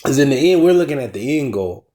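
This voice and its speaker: Man, mid-pitched, casual preacher, slangy, cursing, emphatic. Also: lively intonation, fast talker, dark tone. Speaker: teacher in a religious ministry. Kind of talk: casual scripture lesson.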